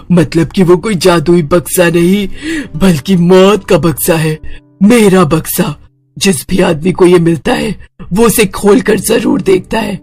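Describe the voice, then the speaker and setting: A man playing a gloating, menacing witch in a horror story, with a raspy cackle and a mocking, taunting way of talking. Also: high-pitched, slow, darkish tone, soft background music, full character voice. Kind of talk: Hindi horror story character acting.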